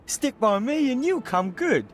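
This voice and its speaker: An actor playing a chatty, wily old sailor in a video game, folksy and rambling. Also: male voice, extremely high pitch, sing-song, measured pace, music in the background, heavily acted. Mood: chummy. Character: chatty, wily old salt named Wibbly. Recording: clear background noise.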